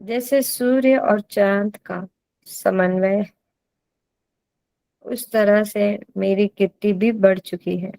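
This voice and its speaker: A woman with an Indian accent leads a guided meditation as a teacher, giving deliberate instructions and repeating phrases for emphasis. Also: mid-range voice, steady tone, creaky, dark tone, no echo, low-quality recording.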